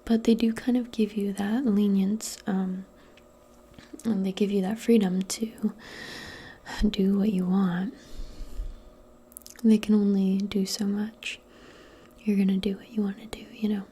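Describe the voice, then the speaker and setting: Female ASMR performer for personal-attention roleplay: very breathy whisper, slow, gentle reassurance. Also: mid-range voice, flat delivery. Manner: gentle, reassuring, hesitant phrasing.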